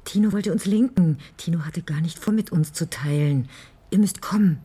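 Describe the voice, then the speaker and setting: Casting: controlled, persuasive con woman for radio crime play, mellow relaxed tone. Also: fairly low pitch, moderate pace, husky. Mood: composed, persuasive. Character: cool, calculating female schemer named Paula, smoothly persuasive. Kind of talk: German radio crime drama acting.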